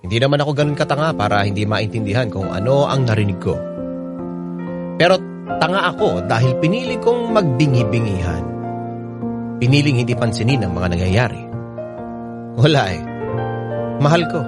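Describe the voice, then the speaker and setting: A man's voice: medium pitch, big pitch swings, moderate pace, pauses often, close to an everyday voice. An actor narrating in first person as a young man recounting a painful love affair, confiding directly to the listeners. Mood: rueful. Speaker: narrator telling his own story. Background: loud music.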